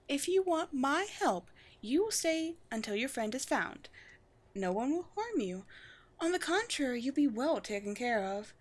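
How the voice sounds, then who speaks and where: A woman voicing a proud, wry vampire queen with a formal, measured, teasing manner in a scripted audio roleplay. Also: a little high, a bit bright. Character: poised vampire queen, proud, wry, secretly gentle colony leader.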